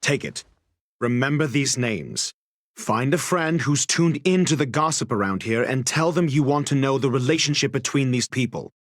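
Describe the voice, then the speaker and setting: An actor playing a courteous, cryptic detective in a video game, speaking in a formal, measured way. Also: male voice, medium pitch, lively intonation, no room sound, clean studio sound, lightly acted. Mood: cool, courteous. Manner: formal, measured, theatrical wording. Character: composed, eloquent male detective, courteous and cryptic. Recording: music behind the voice.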